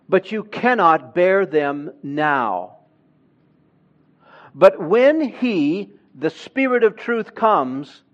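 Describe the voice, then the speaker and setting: A man who grew up in Honduras, preaching a sermon in a church with slow, emphatic delivery that swells louder on key words. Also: sits high, highs cut off.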